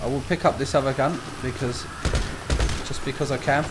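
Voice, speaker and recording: A man giving casual, improvised game commentary, playful and excitable, exclaiming and talking to himself. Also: mid-range voice, normal speed, background noise. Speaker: gaming video host.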